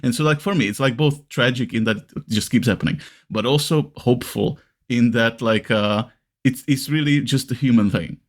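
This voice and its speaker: A man with a Czech accent talking casually as a podcast host, rambling and often restarting his sentences. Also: medium pitch. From Czech Republic. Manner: halting, rambling, frequent self-restarts.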